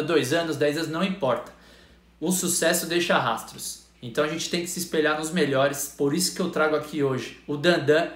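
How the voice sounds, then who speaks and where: High-voiced effusive Brazilian man hosting. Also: fairly bright tone, roomy sound. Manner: effusive, informal, slangy. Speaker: interview host, physical education professional. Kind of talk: enthusiastic online interview chat.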